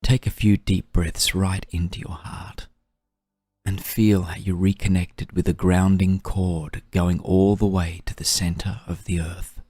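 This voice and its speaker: A man guiding a meditation in a soft, breathy, soothing voice, gently and unhurriedly, with long pauses. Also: sits low, flat delivery, dry recording, studio quality. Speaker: meditation guide. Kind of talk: guided meditation narration.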